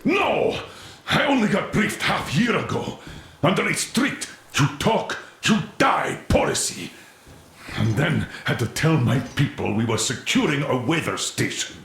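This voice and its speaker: A man acting a stern, weary military commander in a video game, gruff, authoritative and sardonic. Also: on the high side, sing-song, a little slow, a bit bright, heavy reverb, heavily acted. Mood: angry, defensive.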